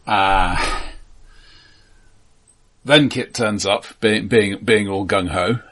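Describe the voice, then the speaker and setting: A man casually discussing a film as a podcast co-host, with a halting delivery full of repeated words and dry, understated humour. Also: on the low side, fairly bright tone.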